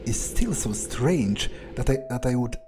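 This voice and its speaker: A man playing a cold, vengeful vampire obsessed with his authority, improvising deliberately and wryly in character for a game playthrough. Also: mid-range voice, lively intonation, noisy background, close to an everyday voice. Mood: cold, scheming. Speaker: gameplay roleplayer.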